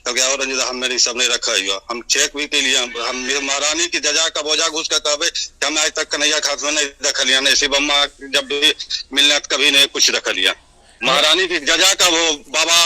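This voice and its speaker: A man's voice: a little high, moderate pace, bright, forceful tone. A man with a Bihari accent on a recorded phone call, defending himself and pleading in dialect. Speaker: village watchman on a leaked phone call.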